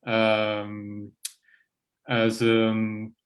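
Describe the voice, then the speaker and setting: A man with a French accent presenting a climate proposal on an online panel, halting and full of fillers. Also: lowish voice, completely flat delivery. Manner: halting, many fillers and restarts. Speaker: campaign presenter.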